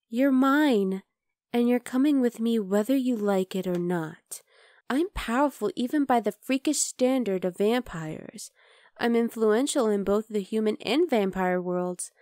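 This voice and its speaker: A woman playing a possessive, bloodthirsty vampire girl in an ASMR roleplay, talking intimately to the listener and slipping into breathy whispers. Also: a little high, unhurried, dark tone, no room sound, studio quality, lightly acted. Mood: menacing, possessive.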